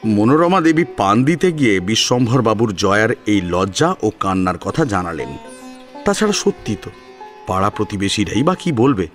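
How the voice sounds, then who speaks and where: Animated male storyteller. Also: on the low side. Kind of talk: dramatic narration of a serialized story.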